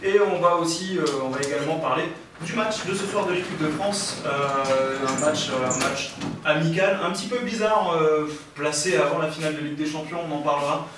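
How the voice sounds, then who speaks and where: Roomy, distant higher-voiced man hosting radio. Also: fairly quick. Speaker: radio show host. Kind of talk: casual presenting. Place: radio studio. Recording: roomy, distant mic, noisy, clicks.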